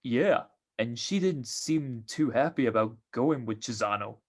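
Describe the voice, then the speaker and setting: An actor playing a greedy, none-too-bright small-time tough guy in an old-time radio crime drama, talking in streetwise slang. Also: medium pitch, lively intonation. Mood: eager, blunt. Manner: drawn-out streetwise gangster slang.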